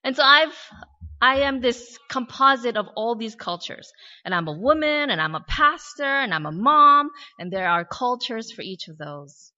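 A woman's voice: medium pitch, bright, forceful tone, big-room sound. A woman pastor with an American accent giving a conversational sermon, reflective and full of questions, with light humorous asides. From born in Korea, raised in the US. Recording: dull lo-fi recording.

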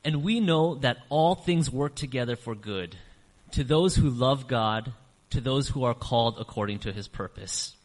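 A man's voice: medium pitch, expressive. Earnest male pastor in a reverberant church. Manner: earnest, varies emphasis, slows on key phrases. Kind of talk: sermon. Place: church sanctuary. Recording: long reverb of a church hall.

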